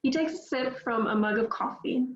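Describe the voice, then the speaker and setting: A woman reading stage directions aloud at a table read in a plain, measured way. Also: high-pitched, roomy sound, highs cut off.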